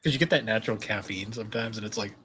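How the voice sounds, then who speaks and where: A young man with a low voice, co-hosting a podcast in casual chat, laid-back and chuckling through his stories. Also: very fast, a bit bright, husky. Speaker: podcast co-host.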